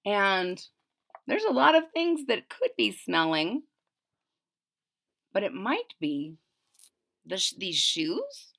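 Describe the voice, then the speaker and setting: A woman's voice: medium pitch, slow, creaky, long pauses. A woman reading a children's story aloud with dramatic emphasis, explaining simply as if to young listeners. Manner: expressive, dramatic emphasis on key words. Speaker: storybook reader.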